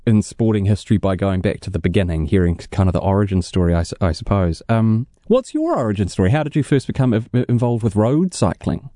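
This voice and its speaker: A New Zealand man in his thirties hosts afternoon radio, chatty and wry, his questions wandering as he goes. His voice is mellow and relaxed and sounds dry and close. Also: fairly low pitch, fast, hardly any pauses, dry recording. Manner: conversational, wry, rambling questions. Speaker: radio host. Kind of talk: radio interview.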